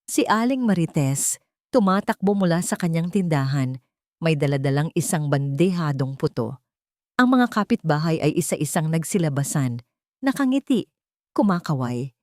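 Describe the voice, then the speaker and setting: A woman's voice: low voice, very animated, normal speed, a little mellow, dry recording, studio quality. A woman with a Filipino accent reading a first-person dramatic story as an audiobook narrator.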